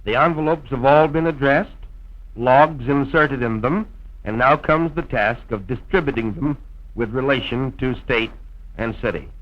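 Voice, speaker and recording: Dull old film-soundtrack American announcer man. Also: medium pitch. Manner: formal, measured announcer's diction. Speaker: radio program host and narrator. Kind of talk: scripted promotional film narration, old-time radio delivery.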